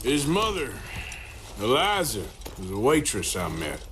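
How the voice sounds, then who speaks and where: Slow-drawling, weary Western outlaw. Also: male voice, medium pitch, huge pitch swings, noisy background. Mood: sorrowful, regretful. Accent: American, rural Western drawl. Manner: slow, plain-spoken, subdued. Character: weary, regretful American outlaw man of the Old West. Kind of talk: naturalistic dramatic video game acting. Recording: dramatic music behind the voice.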